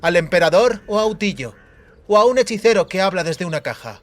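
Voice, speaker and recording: A man voicing a proud, defiant female soldier with a hoarse voice in a fantasy audiobook. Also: high voice, animated, brisk, pitched above the performer's own voice.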